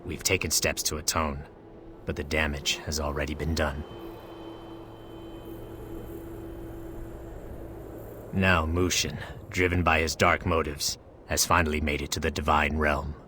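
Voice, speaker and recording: An actor playing a solemn, regretful male divine being in a game, speaking in a measured, formal manner. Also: very deep voice, little pitch movement, background noise. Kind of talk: scripted game dialogue.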